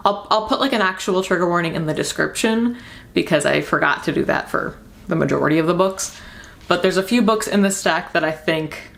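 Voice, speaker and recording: A young woman talking casually and enthusiastically, rambling through book recommendations with emphatic repeats. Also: fairly low pitch. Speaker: book reviewer and video host.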